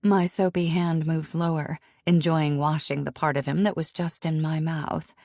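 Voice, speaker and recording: A woman narrating a romance novel in the first person, in an intimate, even storytelling manner. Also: low voice, normal speed, dry recording, clean studio sound. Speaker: audiobook narrator.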